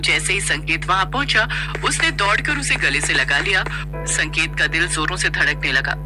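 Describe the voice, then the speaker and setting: A woman's voice: fairly low pitch, animated, normal speed. A woman narrating a scripted story as a storyteller. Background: music.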